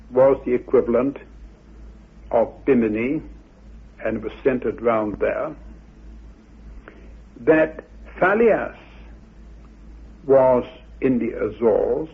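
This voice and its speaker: A man with a gravelly, very husky voice explains things in a deliberate, scholarly way. He talks slowly and haltingly. The sound is thin and phone-like, like an old radio recording. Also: mid-range voice. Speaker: researcher interviewed about mythology. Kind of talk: explanatory talk with quoted recitation.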